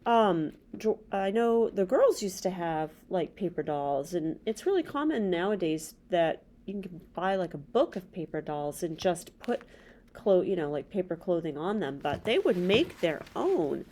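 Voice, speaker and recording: A woman's voice: on the low side, very animated, measured pace, mellow, a little hiss or hum. A middle-aged woman hosting a children's read-aloud, reading a story and adding casual asides. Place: small indoor room with bookshelves.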